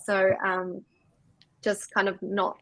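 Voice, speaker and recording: A woman's voice: mid-range voice, monotone, slow pace, a bit bright, no echo. Woman, from New Zealand, co-founder guest, reflective, filler-heavy, dry sound. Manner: reflective, many fillers and restarts. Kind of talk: casual interview answers.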